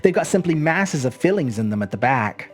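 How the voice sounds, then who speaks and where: A woman's voice: low-pitched, sing-song, a little fast, soft background music, voice pushed up. A woman voicing a polite, puzzled seventeen-year-old boy with an earnest, hesitant way of musing aloud. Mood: shy, polite.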